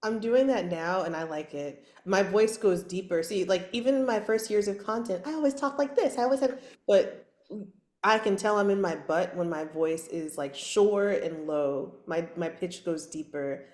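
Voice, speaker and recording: A woman hosting a casual live video chat, asking questions as an animated interviewer. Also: medium pitch, normal speed. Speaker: host. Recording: echoey room on a video call.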